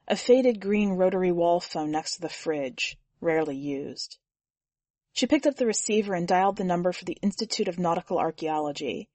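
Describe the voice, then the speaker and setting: A woman podcast editor reading a short story aloud in a measured, even storytelling manner on a close studio mic. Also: fairly low pitch, dry recording. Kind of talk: fiction audiobook narration.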